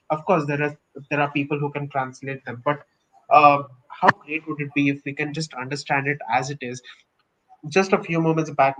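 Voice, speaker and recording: A man's voice: mid-range voice, sharp tone, poor audio. A young man from India with an Indian English accent, earnestly explaining his views in a casual podcast discussion. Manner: earnest and opinionated, explains with examples.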